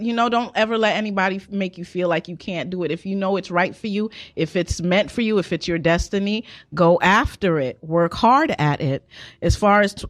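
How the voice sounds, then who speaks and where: A woman speaking as a singer guest in a casual interview, telling anecdotes and repeating phrases for emphasis. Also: mid-range voice.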